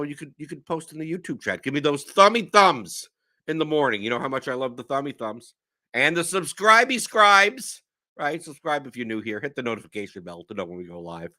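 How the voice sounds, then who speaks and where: Hoarse, animated male streamer. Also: fairly high pitch. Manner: animated, rambling, self-interrupting. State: hoarse, sore throat. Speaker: host of a fantasy sports stream. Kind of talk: casual livestream chat.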